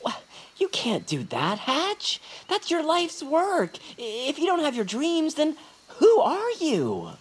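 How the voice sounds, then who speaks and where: A woman's voice: high voice, wildly swooping pitch, slow pace, full character voice. A woman voicing an earnest, worried young peepkin friend in an audio fiction comedy. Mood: alarmed, worried.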